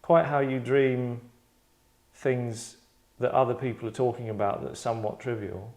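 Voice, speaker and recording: A man's voice: medium pitch, steady tone, normal speed, a little mellow. Man rambling casually, tongue-in-cheek. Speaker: host and writer running a table read.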